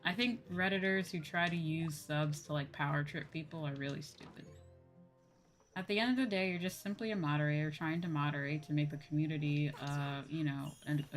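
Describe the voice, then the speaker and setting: A woman livestreamer chatting casually and informally, thinking aloud as she goes. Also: sits low. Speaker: livestreamer and VTuber host.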